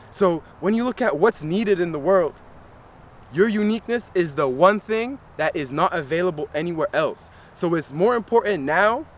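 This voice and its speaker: A young man hosting a wellness channel, talking casually and earnestly to camera, repeating phrases for emphasis in relaxed slangy speech. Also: high voice. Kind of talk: casual motivational talk to camera.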